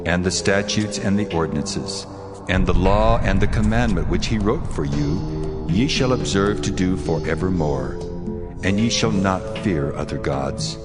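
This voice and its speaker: A man playing God giving stern, solemn commandments in measured speech for a dramatized audio Bible. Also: low-pitched. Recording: music behind the voice. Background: background music.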